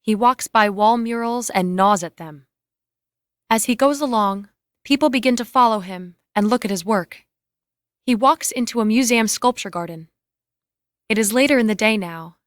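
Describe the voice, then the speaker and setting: A woman's voice: medium pitch, fairly bright tone, no echo, studio quality. A woman reading scripted, screenplay-style narration and stage directions.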